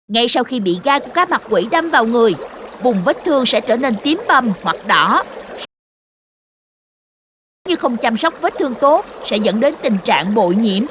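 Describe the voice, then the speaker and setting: High, lively cartoon explainer. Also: female voice, slight background noise. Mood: instructive. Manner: instructive, expressive. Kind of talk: children's cartoon dubbing, scripted explanation.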